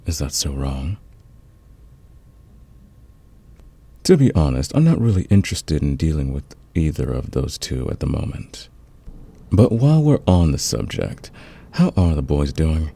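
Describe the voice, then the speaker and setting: A man playing a smug, flirtatious fantasy villain in a romantic ASMR roleplay, teasing and intimate with a husky, gravelly voice. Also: extremely low pitch, sing-song, dark tone, dry recording, full character voice. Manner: teasing, intimate, drawn-out confiding delivery. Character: flirtatious, smug male fantasy villain, secretly smitten rival.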